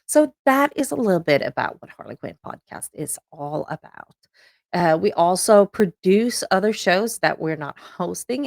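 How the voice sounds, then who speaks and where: A middle-aged woman with a Swedish accent hosts a solo podcast announcement, chatty and upbeat with plenty of ums. Her pitch swings up and down animatedly, and the recording is close and dry with no room sound. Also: vocal fry, no room sound. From Sweden. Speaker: podcast host.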